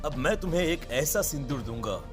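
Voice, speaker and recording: Male actor as ominous priest, fairly high male voice, solemn commands, horror cartoon, faint music. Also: animated, fairly quick, close to an everyday voice. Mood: grave, insistent. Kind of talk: animated horror story dub.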